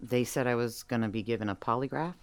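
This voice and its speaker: An actress playing an incredulous, sardonic person being questioned in a comic audio drama. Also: female voice, extremely low pitch, fast talker, no echo. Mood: puzzled. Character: incredulous, sceptical interview subject facing a polygraph. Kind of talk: comedic audio drama acting.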